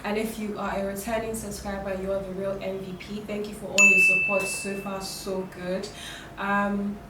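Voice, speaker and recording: A young woman talks her viewers through a makeup routine in a relaxed, rambling way, her voice croaky from a cold. She is recorded in an echoey room from some distance away. A steady hiss of noise sits under her voice. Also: mid-range voice, moderate pace. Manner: chatty, with many fillers and restarts. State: hoarse from a cold. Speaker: beauty vlogger. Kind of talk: casual makeup tutorial talk. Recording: roomy, distant, noisy home recording.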